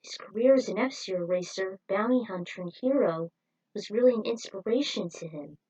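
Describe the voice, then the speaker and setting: A woman's voice: mid-range voice, expressive, normal speed, warm tone, slightly rough, muffled recording. A woman narrating a scripted story, reading it aloud as a storyteller.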